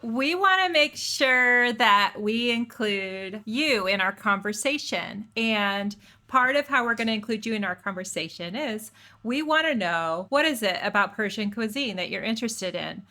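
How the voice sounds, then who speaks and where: A woman with a Midwestern American accent co-hosting a podcast. Her voice sits a bit high and sounds bright, and she talks with eager, self-deprecating warmth. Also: lively intonation, moderate pace. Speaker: podcast co-host. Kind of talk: casual chat.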